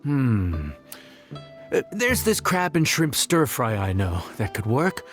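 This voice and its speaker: An actor playing an affable, knowledgeable cook in a video game, chatty and explanatory. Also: male voice, mid-range voice, sing-song, slow pace, soft background music. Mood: musing.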